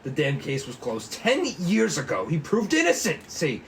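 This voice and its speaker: A man's voice: on the high side, huge pitch swings, moderate pace, slight background noise. A man voicing a stern, exasperated police boss in a noir cop drama, brusque and weary. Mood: firm, scolding. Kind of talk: 1940s noir cop drama table read.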